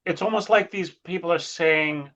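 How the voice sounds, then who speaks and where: A man's voice: a little high, normal speed, a bit bright. A man giving his opinions in a casual podcast chat, with hedges and fillers. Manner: opinionated, hedging, many fillers. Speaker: podcast host.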